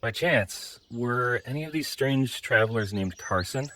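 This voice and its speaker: A man's voice: mid-range voice, unhurried, noisy background, lightly acted. A man playing an earnest, mild traveler in an audio drama, speaking politely and a little hesitantly. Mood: polite, relieved. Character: earnest, mild man stranded in big robot body.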